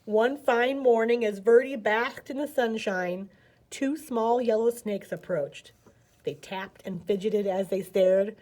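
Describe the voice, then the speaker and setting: High-voiced woman reading a storybook expressively. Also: slow pace, poor audio. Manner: animated, dramatic storyteller emphasis. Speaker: children's librarian reading at story time. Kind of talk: expressive picture book read-aloud.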